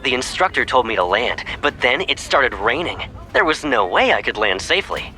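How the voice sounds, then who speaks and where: A man's voice: medium pitch, very animated, normal speed, bright, forceful tone, a little hiss or hum, poor audio. An actor voicing a cocky, playful young male pilot who teases his childhood friend, in video game style. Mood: boastful storytelling. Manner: playful, teasing, boastful. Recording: background music under the voice. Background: music.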